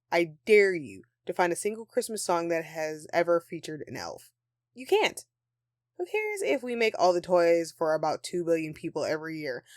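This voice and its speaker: A woman's voice: fairly low pitch, dry recording, studio quality. A woman playing a grumpy but affectionate Christmas elf in an intimate audio roleplay, with a sarcastic, dramatic, sighing delivery. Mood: indignant ranting.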